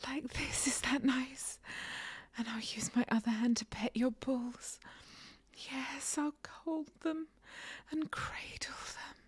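A woman in an intimate whispered audio roleplay, talking in a breathy, sighing whisper close to the mic. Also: high-pitched, slow pace, no room sound.

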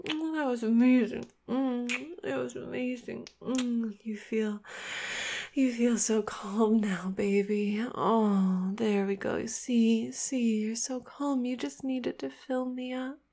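A woman's voice: fairly high pitch, steady tone, slow pace, gravelly, dark tone, heavily acted. A young woman playing an obsessive, possessive lover in an intimate audio roleplay, giggly and coaxing, often dropping to a whisper. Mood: breathless.

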